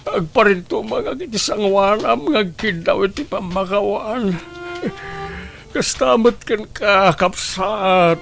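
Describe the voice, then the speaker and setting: An actor playing a remorseful older widower in a radio drama, confessing haltingly and pleading for forgiveness. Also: male voice, sits high, gravelly, fairly bright tone, heavily acted. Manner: halting, slow, heavy pleading delivery. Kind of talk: Ilocano radio drama acting.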